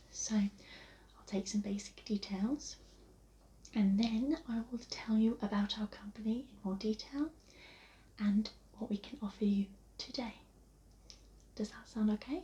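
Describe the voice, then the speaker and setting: A woman with an English accent speaking softly and breathily, often near a whisper, in a polite, reassuring, unhurried ASMR receptionist roleplay. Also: mid-range voice. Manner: polite, reassuring, unhurried customer-service talk. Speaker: ASMR host playing a receptionist. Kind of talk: soft-spoken ASMR roleplay. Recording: lo-fi, muffled, noticeable background hiss.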